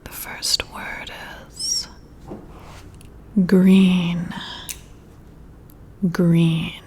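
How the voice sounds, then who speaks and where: A woman performs a whispered ASMR role-play, right up at the listener's ear. Her voice is a breathy, airy whisper, and she speaks extremely slowly. She guides the listener with gentle, soothing, deliberate instructions. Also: on the low side, level tone. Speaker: ASMR creator role-playing a boss giving a personality test. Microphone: close-up ear-to-ear microphone.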